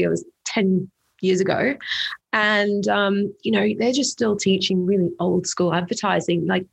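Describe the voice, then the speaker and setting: A woman's voice: fairly low pitch, creaky, breathy edge. A young woman from England who now speaks with an Australian accent, telling her story as a guest in a casual interview. She talks in a chatty way, with lots of fillers and repeated words. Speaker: company co-founder, guest.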